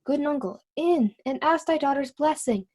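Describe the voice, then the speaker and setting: A woman's voice: high-pitched, lively intonation, normal speed, a little mellow. A woman playing a wry, riddling court fool in a theatrical reading of Shakespeare. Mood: pleading. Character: court fool, witty, riddling companion to old king.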